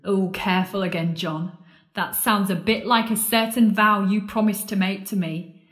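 A woman's voice: mid-range voice, fairly even intonation, normal speed, lightly acted. An actress playing a bitter, scornful woman confronting her lost love in an audio drama, sardonic and accusing. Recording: roomy, echoing space.

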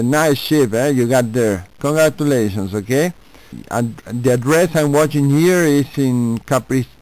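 An Argentine man talks casually on amateur radio with a Spanish accent. His delivery is slow and his tone clean and smooth. He is friendly and chatty, recorded dry. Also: a little high, no echo, poor audio. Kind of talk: casual chat over ham radio.

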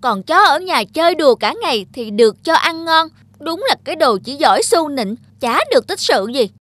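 A woman's voice: high voice, expressive, moderate pace, fairly bright tone, no room sound. A woman voicing a resentful, weary mother buffalo with a grumbling manner in a cartoon fable. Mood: bitter grumbling. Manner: grumbling, sarcastic. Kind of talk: dubbed cartoon fable acting.

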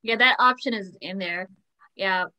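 A woman speaking casually on a video call panel, quick and full of "like" and "uh". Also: mid-range voice, sharp tone, low-quality recording. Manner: quick, uses fillers like "like" and "uh".

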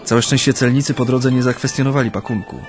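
An actor playing a reserved Japanese interpreter in exile in a naturalistic radio drama, speaking politely with hesitant pauses. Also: male voice, medium pitch, fairly even intonation, natural voice. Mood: reflective. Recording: studio radio drama with music.

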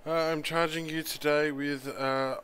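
A man's voice: a little high, flat delivery, a little slow, fairly bright tone, a little hiss or hum. A man improvising as a police officer in an online role-play, talking in a hesitant, matter-of-fact, casual way. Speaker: streamer role-playing a police chief.

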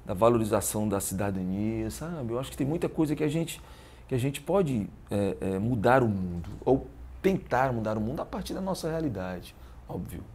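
A man's voice: mid-range voice, expressive, normal speed, darkish tone. A man in his early fifties with a northeastern Brazilian accent, talking reflectively as an interview guest and often checking for agreement. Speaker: interview guest, musician and teacher. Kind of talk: casual interview talk. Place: living room at home. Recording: clear background room noise.

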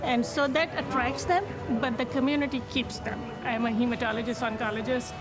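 A woman's voice: a little high, fairly even intonation, brisk. A middle-aged woman doctor chatting conversationally outdoors. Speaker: doctor, hematologist and oncologist. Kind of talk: casual conversation. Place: outdoor park picnic. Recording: loud music behind.

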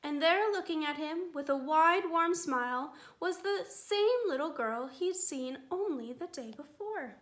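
Woman, children's book reading, high voice, bright, gentle. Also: lively intonation, measured pace, few pauses. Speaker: storytime reader. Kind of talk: picture-book read-aloud.

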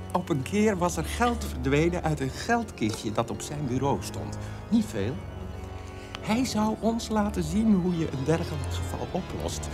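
An actor in a radio drama playing the grown child of a strict father, recollecting in a halting, ironic way. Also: mid-range voice. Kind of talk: animated radio drama storytelling. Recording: music behind the voice.

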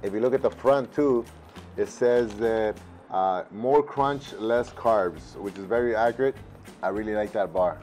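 A man in his thirties with a husky voice from losing it the day before, chatting casually at a home table as he tastes and reviews a snack. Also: on the low side, measured pace, a little mellow, soft background music. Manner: easygoing, thinks aloud with fillers, switches to Spanish with his kids. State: husky, recovering from lost voice. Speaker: food reviewer. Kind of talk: casual taste-test vlog. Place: home room at a table, indoors. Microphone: lapel.

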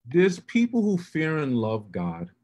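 A man's voice: on the high side, huge pitch swings, a little slow, a little mellow. A man hosting an interview over a video call, earnest and affirming as he asks open questions. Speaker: host. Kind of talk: conversational interview.

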